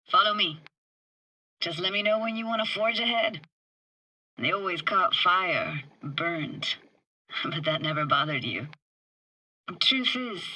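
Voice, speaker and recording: A woman's voice: fairly low pitch, sharp tone, exaggerated voice, poor audio. A woman playing a wry, tender young streetwise techie in a video game, with casual, clipped, slangy delivery. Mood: playful nostalgic. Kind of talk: video game character dialogue.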